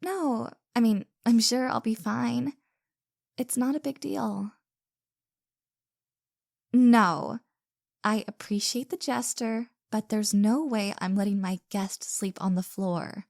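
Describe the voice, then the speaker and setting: A woman's voice: a little high, takes it slowly, gravelly, long pauses, darkish tone, no room sound, clean studio sound. A woman acting a caring, flustered young woman with a crush in an intimate roleplay audio drama, speaking hesitantly and earnestly with stammers.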